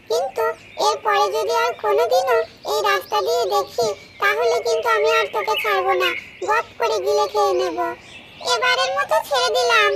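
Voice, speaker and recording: A woman's voice: very high voice, heavy background noise. A woman voicing a gloating, man-eating old witch who scolds and threatens in a cartoon fairy tale.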